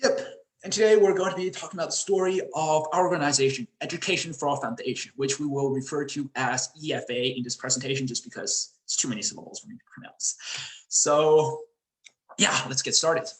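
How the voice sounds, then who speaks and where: Roomy echo, teenage male, fairly high pitch, student presenter. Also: fairly quick. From Chicago area, United States. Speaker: student presenter, education executive of a youth charity. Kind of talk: informal online presentation. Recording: roomy echo on online call.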